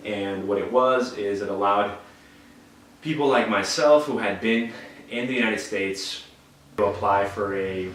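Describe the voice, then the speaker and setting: A young man from Bolivia, telling his story in an interview in a measured, explanatory way. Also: on the low side, fairly bright tone, a little hiss or hum. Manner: measured, explanatory, some restarts. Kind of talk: calm explanatory testimony. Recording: echoey room, mic at a distance.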